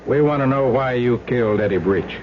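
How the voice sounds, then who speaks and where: A man's voice: mid-range voice, close to an everyday voice. An American man playing a skeptical police lieutenant in an old radio crime drama, questioning with dry, knowing sarcasm. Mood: firm, pressing. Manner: deliberate, dryly sarcastic, knowing. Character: skeptical, sardonic male police lieutenant interrogating a suspect. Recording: dull, noisy old radio recording with hum.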